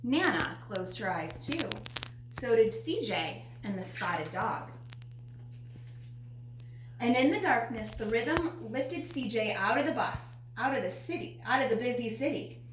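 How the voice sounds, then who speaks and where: High-ish woman reading a picture book. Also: animated, unhurried. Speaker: storybook reader. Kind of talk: children's picture book read-aloud. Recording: roomy echo, faint background noise.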